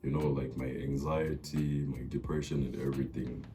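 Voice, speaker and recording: A man's voice: very deep voice, little pitch movement, normal speed, music in the background. A young man talking earnestly to camera, hesitant and full of fillers and restarts. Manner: earnest, confiding. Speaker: vlogger. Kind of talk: personal talk to camera.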